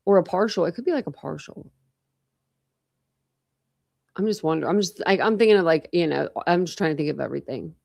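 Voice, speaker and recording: A woman's voice: lowish voice, lively intonation, a little fast, creaky, pauses often, a little mellow. A woman in her forties hosting a casual true crime livestream, thinking aloud and rambling, with a slight lisp from a dental retainer. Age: 40s. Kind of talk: casual livestream chat with viewers.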